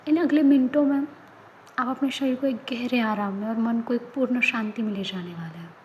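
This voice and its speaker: A woman leading a guided yoga nidra relaxation, speaking in soft, often whispered instructions. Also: a little high, a little hiss or hum, poor audio. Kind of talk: guided meditation.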